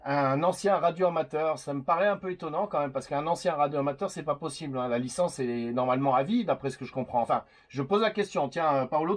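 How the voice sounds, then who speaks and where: A man chatting casually as a CB radio operator, hesitant and rambling as he goes. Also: a little high. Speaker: CB radio operator making videos.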